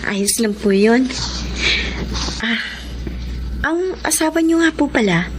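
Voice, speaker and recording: A woman's voice: a little high, expressive, takes it slowly, noisy background. An actress playing a humble, grateful young single mother in a Filipino radio drama, speaking politely and earnestly. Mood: polite, gentle.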